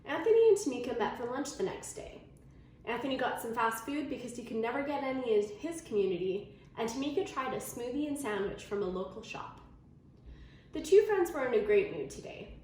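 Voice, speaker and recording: High-voiced woman reading a storybook, very echoey. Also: brisk. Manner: expressive, storytelling delivery. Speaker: storybook reader. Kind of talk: animated read-aloud of a children's story. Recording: very echoey room, faint noise.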